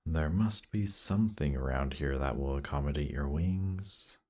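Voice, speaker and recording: A man playing a seductive demon prince in an intimate roleplay audio drama, teasing and coaxing in an unhurried way. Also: extremely low pitch, a little mellow. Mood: playful, amused.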